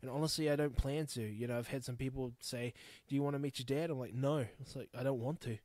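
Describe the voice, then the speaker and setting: A man's voice: mid-range voice, fairly even intonation, quick pace, darkish tone, no room sound. A man in his thirties with a New Zealand accent, hosting a podcast alone, talking bluntly and frankly, swearing freely as he rambles. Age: 30s. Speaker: podcast host. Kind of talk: candid solo podcast monologue.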